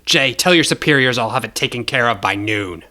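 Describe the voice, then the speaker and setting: Man acting a cocky, lively young cyborg bounty hunter. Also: mid-range voice, fairly quick. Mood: confident, dismissive.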